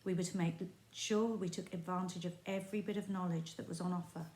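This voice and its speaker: A woman teacher reading a chapter of a book aloud in a steady, expressive storytelling voice. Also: fairly low pitch, fairly quick. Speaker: primary school teacher. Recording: roomy echo.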